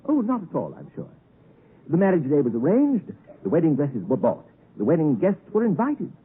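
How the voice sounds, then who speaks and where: Husky, animated young English gentleman. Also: fairly high pitch, normal speed, exaggerated voice. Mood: genial, courteous. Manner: courteous, mannered, digressive. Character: genteel, tactful, cheerful young London gentleman. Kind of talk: 1950s radio drama acting. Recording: tinny, muffled 1950s radio broadcast recording.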